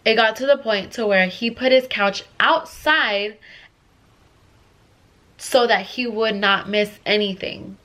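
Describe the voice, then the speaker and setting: A young woman talks through a storytime with a stuffy, congested voice as she gets over a cold. She rambles and restarts constantly, piling on filler words. Her pitch sits in the middle of the range. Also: slow pace, long pauses, bright, forceful tone. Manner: rambling, filler-heavy, restless. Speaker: vlogger. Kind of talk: casual storytime.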